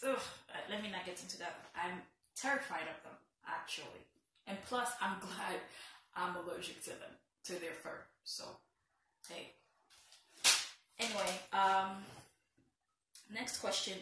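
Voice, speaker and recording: Slow-talking, playful young Haitian woman with a bright tone; casual vlog, mic at some distance. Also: medium pitch, slight background noise. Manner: playful, teasing, self-mocking asides. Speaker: vlogger. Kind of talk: casual get-to-know-me vlog. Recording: very echoey room, a bit far from the mic.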